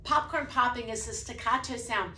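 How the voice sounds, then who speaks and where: A woman's voice: normal speed, bright, forceful tone. Chatty music teacher woman, echoey room. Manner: demonstrates by singing snippets, then speaks casually; says she has a low voice. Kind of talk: explaining a preschool music lesson.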